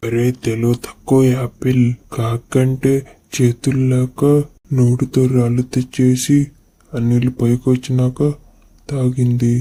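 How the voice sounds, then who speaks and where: A man voicing a know-it-all, condescending talking mango in an animated moral story. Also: mid-range voice, unhurried, lightly acted. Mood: scornful.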